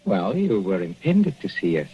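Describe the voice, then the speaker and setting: An actor playing a sadistic middle-aged professor with a Central European accent, mocking and chuckling with purring menace in a 1940s radio play. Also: male voice, sits low, big pitch swings, moderate pace. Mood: gloating. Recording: lo-fi vintage radio recording, faint hiss.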